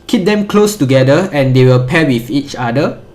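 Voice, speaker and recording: A man's voice: mid-range voice, animated, normal speed, darkish tone. A man presenting an instructional tutorial in a methodical, step-by-step way.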